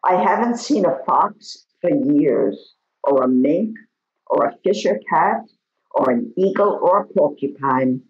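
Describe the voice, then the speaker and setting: Echoey, slow woman reading poetry. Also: low voice, lively intonation. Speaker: poetry reader. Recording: echoey room, dull lo-fi audio.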